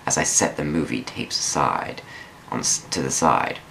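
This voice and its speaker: A man's voice: a bass voice, expressive, moderate pace, creaky, bright, forceful tone, a little hiss or hum. A man giving a theatrical dramatic reading of a first-person story, drawing out words for emphasis. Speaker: amateur dramatic reader of online fan fiction.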